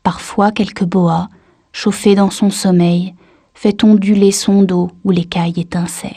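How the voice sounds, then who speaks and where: A woman reciting a literary poem in a measured, solemn manner. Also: mid-range voice, little pitch movement, dark tone, slightly rough, no room sound, studio quality. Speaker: poetry reader.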